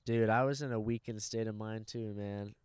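Laid-back swearing young man, smooth voice. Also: lowish voice, fairly even intonation, normal speed, no echo, clean studio sound. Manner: laid-back, swears a lot, says dude constantly. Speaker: podcast host and stand-up comic. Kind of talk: casual podcast chat. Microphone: handheld microphone.

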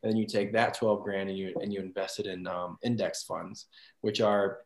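A man's voice: lowish voice, flat delivery, moderate pace, reverberant. A man in his twenties, a guest on a podcast, talking about money in an easygoing way, with loose restarting phrases. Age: 20s. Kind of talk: casual chat.

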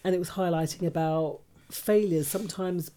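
A woman's voice: fairly low pitch, fairly even intonation, moderate pace, warm tone. A woman hosting a radio chat show, talking casually and reading out facts.

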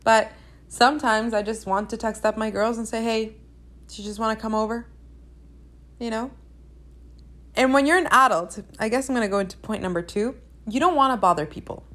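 A woman's voice: on the high side, a little fast, pauses often, a bit bright. A young woman hosting a podcast in a casual, candid chat, repeating phrases for emphasis, a native Spanish speaker. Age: young adult. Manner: chatty, candid, emphatic with repetition. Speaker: podcast host.

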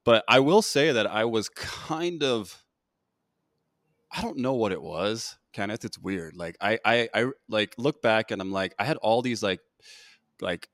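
A man's voice: lowish voice, animated, measured pace, fairly bright tone, no room sound, clean studio sound. A man hosting an interview, talking in a loose, rambling way with many restarts and fillers. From United States. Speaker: podcast host. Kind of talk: casual interview chat.

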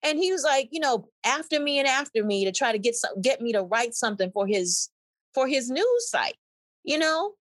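A woman from Mississippi, an actor and guest, telling stories in an animated, wry way and laughing her way through them. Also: sits high, normal speed, no room sound. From Mississippi, USA. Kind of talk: storytelling in an interview.

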